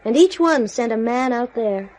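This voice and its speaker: A woman's voice: on the high side, expressive, normal speed, darkish tone, close to an everyday voice, rough recording. A woman acting in a radio drama as an astronaut's troubled, emotional lover, with background ambience. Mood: awed, bleak. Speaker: radio actor.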